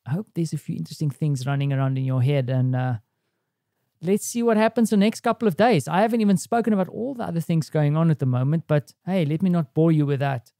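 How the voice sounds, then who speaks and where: A man with a soft, mellow voice pitched a little high talks through his day like an audio diary. He is conversational and reflective, as a podcast host speaking casually to his listeners. The sound is dry and close. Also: fairly even intonation, fast, no room sound.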